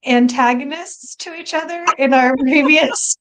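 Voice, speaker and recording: A woman's voice: sits high, unhurried, fairly bright tone. A woman actor chatting casually in a giggly, talkative way on a video call. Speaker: stage actor.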